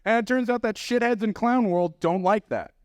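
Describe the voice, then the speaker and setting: A man's voice: high voice, normal speed, clean tone, dry recording, studio quality. A man on a conference panel speaking in a profane, emphatic rant. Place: conference stage.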